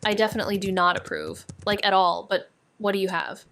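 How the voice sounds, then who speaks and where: An actress playing a wry, skeptical young woman investigator who fires off quick questions. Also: medium pitch, very animated, a bit bright, slight background noise. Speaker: amateur investigator, Mags. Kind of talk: audio drama acting.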